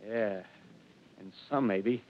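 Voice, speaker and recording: An actor playing a fevered, scheming artilleryman survivor in a 1930s radio drama, with rough colloquial American speech and emphatic, rambling delivery. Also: fairly low pitch, takes it slowly, no echo. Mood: bitter, scornful. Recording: dull, lo-fi 1930s radio broadcast.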